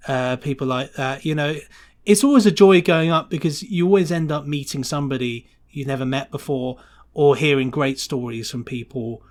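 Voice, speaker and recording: A man talks as a guest in a relaxed interview. He is enthusiastic and chatty, wandering through his thoughts as he goes, with a mid-pitched voice. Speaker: podcast guest, sound designer. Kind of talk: casual interview chat.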